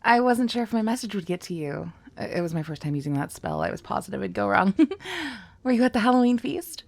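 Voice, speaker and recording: A woman's voice: mid-range voice, big pitch swings, brisk, vocal fry, a little mellow, no room sound. Girl acting as a bookish young witch student, super friendly n chatty, rambly, giggly, close dry audio roleplay. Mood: nervous, grateful. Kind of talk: scripted one-sided roleplay addressed to the listener.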